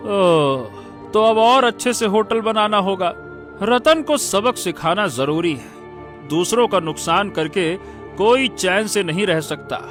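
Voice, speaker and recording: A man voicing a determined, hardworking villager in a Hindi cartoon, speaking earnestly and deliberately. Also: high voice, expressive, fairly bright tone, faint music behind, exaggerated voice. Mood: resolute. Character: determined, hardworking male villager building a treetop eatery. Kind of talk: Hindi cartoon story dialogue.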